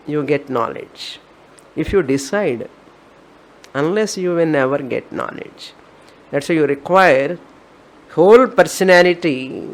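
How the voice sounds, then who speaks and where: A woman's voice: deep voice, rough recording. A woman with an Indian accent, a spiritual teacher giving an emphatic, repetitive discourse on scripture.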